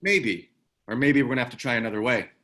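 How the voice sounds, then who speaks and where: A wry former military man, played by an actor at a table read, talks in a mid-pitched voice. He is easygoing, laconic and protective, in a naturalistic screen-drama style. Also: animated, quick pace, sharp tone. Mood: practical.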